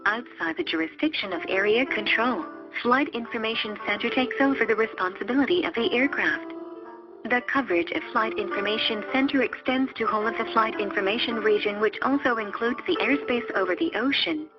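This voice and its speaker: A woman reading a scripted explanatory narration in a formal, measured manner. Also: on the high side. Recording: muffled lo-fi audio under loud music. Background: music.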